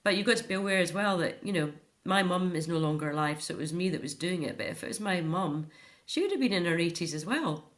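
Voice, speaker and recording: A woman's voice: fairly low pitch, lively intonation, fast. A middle-aged woman speaking earnestly and emphatically as a guest in a reflective personal interview.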